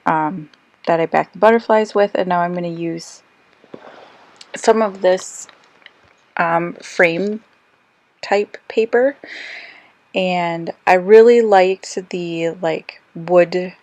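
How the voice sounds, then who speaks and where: A woman who is slightly hoarse and losing her voice, chatting casually through a crafting voice-over with lots of ums and little self-corrections. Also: on the low side, darkish tone, no room sound. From Australia. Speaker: scrapbooking crafter. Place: quiet room, recorded voice-over.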